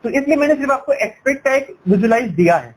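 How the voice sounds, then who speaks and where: High-pitched man making a persuasive sales pitch. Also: animated, fast talker. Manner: persuasive, explanatory, frequent "right?" tag questions; switches between Hindi and English. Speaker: education sales counselor. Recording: noisy sales call recording.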